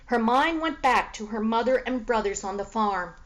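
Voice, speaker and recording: A woman reading a children's book aloud as expressive storybook narration. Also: on the high side, moderate pace.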